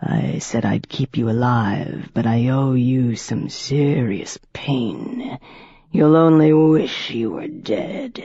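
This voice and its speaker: A narrator voicing an ancient male vampire master who speaks slowly, coldly and in a low hush. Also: animated, no room sound. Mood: menacing. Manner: slow, low, cold and softly whispered. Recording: dull dry audiobook recording.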